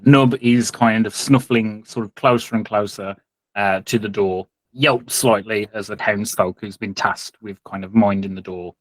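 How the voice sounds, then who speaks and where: A man's voice: fairly low pitch, fairly even intonation, a little slow, dry recording, poor audio. A British man hosting and narrating a tabletop roleplaying game as game master, feeling his way through sentences with self-corrections. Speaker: game master and podcast host.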